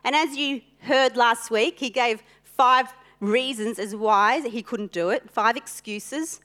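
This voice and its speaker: A woman whose first language is Italian, preaching a sermon with personal stories in an earnest, deliberate way with pauses. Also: a little high, fairly bright tone.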